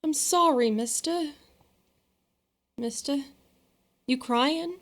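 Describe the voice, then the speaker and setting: A woman's voice: high-pitched, drawn-out words, fairly bright tone, exaggerated voice. A woman playing an earnest, worried young child, blunt and curious, in an audio drama. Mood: curious, sympathetic.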